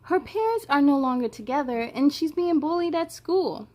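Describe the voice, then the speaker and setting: A woman hosting a children's read-aloud, expressive and playful, speaking straight to young listeners. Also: high voice, moderate pace.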